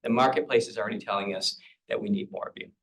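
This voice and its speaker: A man's voice: fairly low pitch, steady tone, quick pace, creaky, fairly bright tone, rough recording. A man, a professor on a panel, speaking in an earnest, emphatic lecturing manner. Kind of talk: panel talk.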